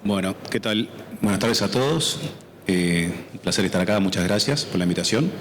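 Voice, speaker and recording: Argentine man with a lowish voice. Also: lively intonation, moderate pace, slight background noise. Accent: Argentine Spanish. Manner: orderly, explanatory. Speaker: panelist, farm business member. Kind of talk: conference panel talk. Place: conference auditorium.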